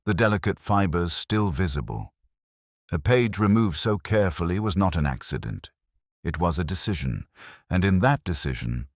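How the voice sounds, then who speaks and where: A man voicing a brooding, introspective police detective who recounts a case in measured, grave, literary first-person narration for a mystery audio drama. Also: low voice, no room sound, clean studio sound, heavily acted.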